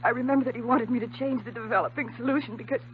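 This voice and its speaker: An actress playing a distraught young doctor's assistant, shaken and tearful, in a 1950s radio melodrama. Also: female voice, on the high side, steady tone, rapid-fire, close to an everyday voice. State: crying, shaken. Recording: tinny, noisy 1950s radio recording.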